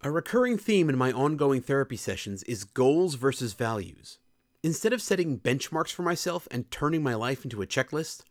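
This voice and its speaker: A man's voice: mid-range voice, no room sound, clean studio sound. A young man reading his own personal column aloud in a candid, earnest and reflective way. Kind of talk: scripted personal essay narration.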